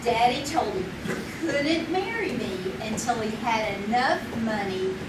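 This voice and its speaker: A woman's voice: fairly high pitch, measured pace. A woman playing a romantic, bubbly teenage schoolgirl in a live old-time radio drama. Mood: chatty. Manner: bubbly, giggly, lively. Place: theater stage. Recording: roomy, distant live stage recording with noise.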